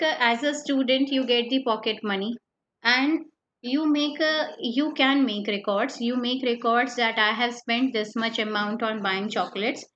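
Woman teacher, higher voice, patient and repetitive lecturing, lo-fi online class mic. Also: fairly bright tone. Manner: explains step by step, repeats key points, asks "right?". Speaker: accountancy teacher. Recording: slightly dull lo-fi online class audio with faint noise.

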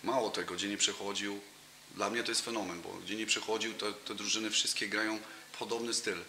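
A man's voice: on the low side, fairly even intonation, a little fast, pushed and bright. A man in his thirties, a football coach as interview guest, talking casually with many fillers and restarts, recorded on a table mic in a cafe room. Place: cafe room with brick wall and sofa. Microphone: table mic in front of him. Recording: roomy, noisy room.

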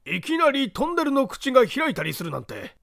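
A man voicing an earnest, puzzled gentleman in an audiobook, formal, deferential and questioning. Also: extremely high pitch, huge pitch swings, a little fast, creaky, no echo, studio quality, pitched above the performer's own voice, full character voice. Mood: flustered, amazed. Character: earnest, puzzled gentleman, polite and easily flustered. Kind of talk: audiobook character dialogue.

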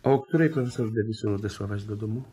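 An actor playing a calm, courteous, probing police inspector in a 1960s-style Polish radio drama, with measured questioning. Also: male voice, on the low side, slight background noise, lightly acted. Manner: polite, measured, hesitant questioning.

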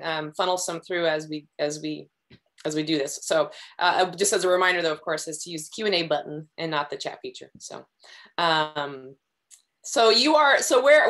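Middle-aged woman host, lowish voice, relaxed rambling video-call questions. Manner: friendly, rambling with many fillers and restarts. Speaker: webinar host. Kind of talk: casual video-call interview. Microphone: video call webcam.

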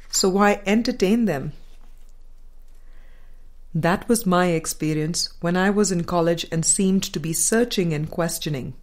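A woman reading a spiritual book aloud in a measured, deliberate and formal way. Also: fairly low pitch. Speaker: audiobook reader.

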